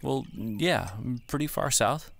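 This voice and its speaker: A man playing a tired homeowner in a comedy radio play, answering in flat, put-upon replies. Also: medium pitch, slow pace, creaky. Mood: weary. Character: weary homeowner Frank, adult man.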